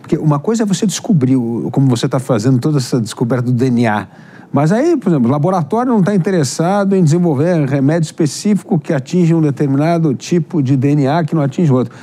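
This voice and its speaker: A man's voice: a little high, animated, fast, warm tone. A man hosting an interview, asking long, rambling questions full of tag questions. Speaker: interviewer, host.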